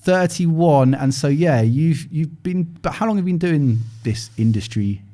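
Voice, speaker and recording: A man's voice: fairly high pitch, animated, unhurried, warm tone. A man hosting a podcast, reading a scripted intro and then chatting casually with friendly, hesitant fillers. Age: older than 31. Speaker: podcast host.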